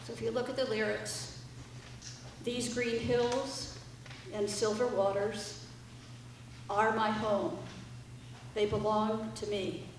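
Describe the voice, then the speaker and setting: A woman addresses a concert audience as one of the performing musicians. She speaks slowly in a fairly high voice. The sound is heavily reverberant and picked up from a distance. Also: little pitch movement. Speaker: dulcimer group member introducing songs. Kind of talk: informal concert introduction to a live audience. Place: indoor library art gallery, live audience. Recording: echoey room, distant mic, faint noise.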